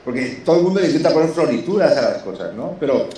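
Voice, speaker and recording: A man from Barcelona with an accent from Spain, chatting casually in an enthusiastic, halting way and repeating himself. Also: fairly high pitch, very animated, warm tone. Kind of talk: casual chat. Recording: echoey room, faint noise.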